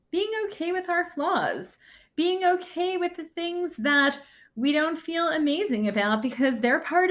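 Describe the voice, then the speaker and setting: Woman, teacher, children's read-aloud, gentle deliberate phrasing, slow pace, animated intonation, mid-pitched. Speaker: sixth grade teacher. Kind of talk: read-aloud lesson for children, story reading with commentary.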